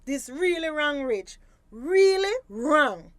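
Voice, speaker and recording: Firm woman fisheries officer, Belizean Creole, high voice, dry close sound, admonishing but fair. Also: lively intonation, slow, no room sound. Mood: stern lecturing. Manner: stern, admonishing, lecturing. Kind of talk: radio drama acting.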